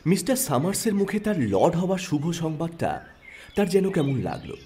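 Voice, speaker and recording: A man narrating an audio story in an expressive, dramatic storytelling style. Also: a little high, moderate pace, a little hiss or hum. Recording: narration over background music.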